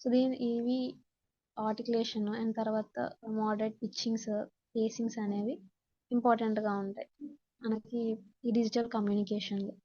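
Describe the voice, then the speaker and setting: Mellow Telugu woman teaching, slightly muffled audio. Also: a little high, little pitch movement, normal speed. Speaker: instructor. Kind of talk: slide-based lesson, explaining in Telugu and English.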